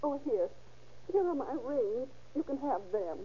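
A woman's voice: high voice, big pitch swings, unhurried, dark tone. An actress playing a frightened, pleading wife and mother in a 1930s radio crime drama, anxious and emotional. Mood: anxious offering. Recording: muffled, noisy old radio recording.

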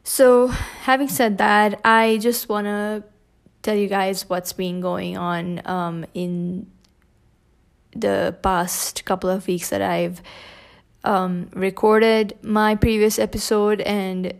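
A young woman hosting her own podcast, talking without a script in a hoarse, scratchy voice while grieving, with many pauses and soft fillers. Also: mid-range voice, little pitch movement. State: grieving, holding back tears. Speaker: podcast host. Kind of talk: unscripted personal podcast talk.

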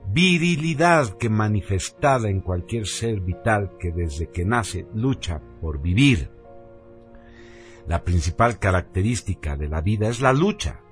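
A man's voice: lowish voice, big pitch swings, unhurried. A man reading a scripted radio narration in a solemn, emphatic, declamatory manner. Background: background music.

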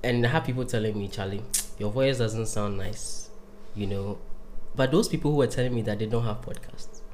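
A man hosting a podcast, asking questions in a relaxed way full of "you know" and "right". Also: fairly low pitch, a little fast, a little hiss or hum. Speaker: podcast host. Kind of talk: casual interview chat.

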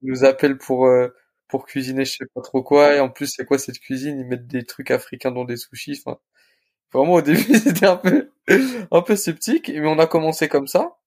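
A young man telling his story casually as an interview guest, in informal, halting phrases. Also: medium pitch, a little mellow. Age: young adult. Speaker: restaurant co-founder, interview guest.

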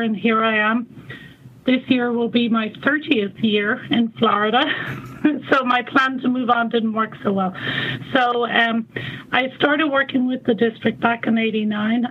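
A woman in her fifties with an Irish accent, a guest explaining her work in a measured way with frequent ums. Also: on the high side. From Ireland. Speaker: guest, water conservation coordinator. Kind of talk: remote interview conversation. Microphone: phone call. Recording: tinny phone line, faint noise.

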